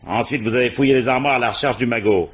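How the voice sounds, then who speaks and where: An actor playing a shrewd, authoritative police commissioner who questions insistently and methodically in a French radio crime drama. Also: male voice, medium pitch, fast, noisy background, lightly acted. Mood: accusing, confident.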